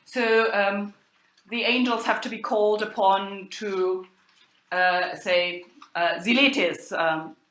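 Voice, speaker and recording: A woman giving an academic lecture in a halting way, pausing and hesitating often. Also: mid-range voice, fairly even intonation, fairly bright tone. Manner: halting delivery with long hesitations. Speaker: lecturer, university professor. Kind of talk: spoken lecture on old drama texts. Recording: echoey room.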